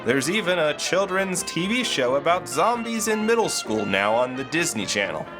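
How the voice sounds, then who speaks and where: Fatherly ghost host, acted by a man, scripted explainer, swooping pitch, music underneath. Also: sing-song, moderate pace. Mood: explanatory. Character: fatherly ghost storyteller explaining horror history. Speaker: host and narrator. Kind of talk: scripted educational narration.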